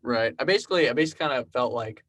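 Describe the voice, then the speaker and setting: A man's voice: medium pitch, very fast. Twenties guy from South Texas, laid-back interview guest who rambles through stories. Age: 20s. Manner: relaxed, rambling storyteller with many fillers. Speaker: podcast guest, programmer and musician. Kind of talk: casual conversational interview.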